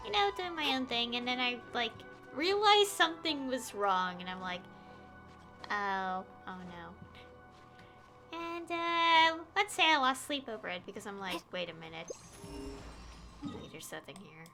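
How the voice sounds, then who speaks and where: Woman, very high lively voice, casual rambling livestream chat, music faintly behind. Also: measured pace. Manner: rambling, conversational. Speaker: game streamer.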